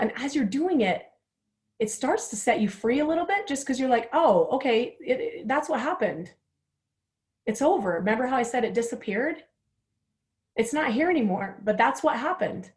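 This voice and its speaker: Candid forty-year-old woman leading a workshop. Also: a little high. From Utah, United States. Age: 40s. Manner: chatty, candid, laughs at herself. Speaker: workshop host. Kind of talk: guided writing workshop.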